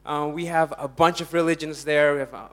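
A young man from Indonesia, preaching a lively, jokey sermon to a congregation and laughing often. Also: on the high side, fairly quick.

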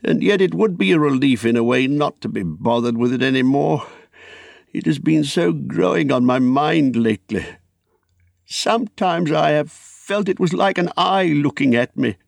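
A man's voice: a little high, lively intonation, normal speed, gravelly, dry recording, clean studio sound, voice pushed up. A man voicing a fussy, wistful, elderly hobbit in a storybook, with polite, rambling, exclamatory speech. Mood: anxious, wistful. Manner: polite, rambling, exclamatory old-fashioned English.

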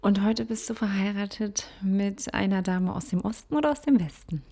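A woman's voice: medium pitch, dark tone. A woman hosting a podcast, asking questions and then closing the episode in a friendly tone with frequent ums. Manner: friendly, fills pauses with ums. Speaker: podcast host. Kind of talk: podcast interview and outro.

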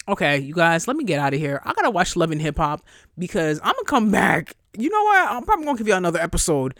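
A young woman hosting a solo podcast in a casual, chatty way, blunt and profane, her voice jumping high when she gets excited. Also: no room sound, clean studio sound. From New York area. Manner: profane, blunt asides to listeners. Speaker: podcast host.

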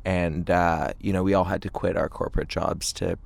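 A man, a band singer chatting casually in an interview with halting, hesitant phrasing. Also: deep voice, flat delivery, vocal fry. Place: inside a parked tour van.

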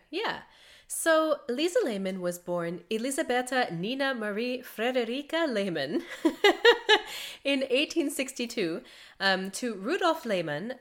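A woman hosting a podcast, a mezzo-soprano telling a composer's life in a chatty way, laughing often between facts. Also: medium pitch. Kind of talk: conversational podcast storytelling.

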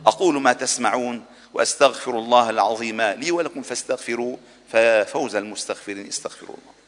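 A long reverberant tail surrounds this man's mid-pitched voice. He speaks as a mosque preacher giving a sermon and prayer. His delivery is solemn and formal, with measured rhetorical phrasing. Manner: solemn, formal rhetorical cadence, insistent repeated pleas. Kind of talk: Arabic Friday sermon and supplication. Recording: long echoing reverb of a large hall.

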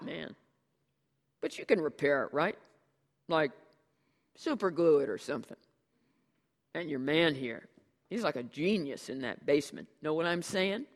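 A man's voice: a little high, a bit bright, in a large hall, pitched above the performer's own voice, full character voice. A reader voicing a heavyset, easygoing man who talks in loose slang and dodges blame, in an audiobook. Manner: laid-back slang, quick denials. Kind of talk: audiobook character dialogue.

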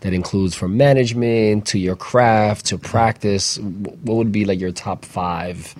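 A man's voice: lowish voice, unhurried, darkish tone, no room sound. A man co-hosting an interview in a conversational style. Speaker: co-host.

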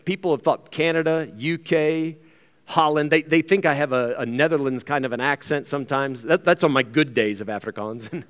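A man's voice: fairly high pitch, a bit bright. An American man preaching a sermon in a conversational way, with rhetorical questions and asides, reading from scripture. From United States. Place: large reverberant room, preaching to a congregation. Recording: long hall reverb.

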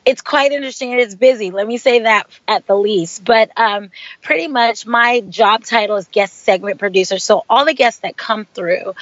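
A young woman raised in Hawaii, answering interview questions in an earnest way with many pauses and ums. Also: mid-range voice, no room sound, highs cut off. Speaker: guest segment producer.

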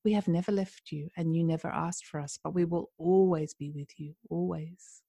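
A woman voicing a gentle chorus of wise spirit guides in a hushed, soothing tone. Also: lowish voice, fairly even intonation, normal speed, vocal fry, husky, no room sound.

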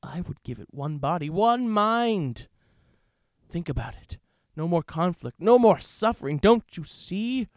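A man's voice: sits high, big pitch swings, no room sound, clean studio sound, pitched above the performer's own voice. A man playing a grandiose, menacing video game villain in a theatrical dramatic reading. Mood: grandiose, pleading. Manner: theatrical, slow menacing pronouncements.

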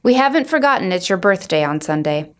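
A woman's voice: mid-range voice, very animated, brisk, close to an everyday voice. A woman reading the lines of a kindly adult woman who reassures a girl before leaving. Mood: reassuring.

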